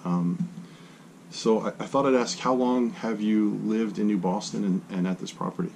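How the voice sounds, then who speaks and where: A man's voice: lowish voice, fairly even intonation, normal speed, gravelly, a little mellow, a little hiss or hum. A man conducting an interview, asking questions hesitantly with many fillers. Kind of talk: casual interview.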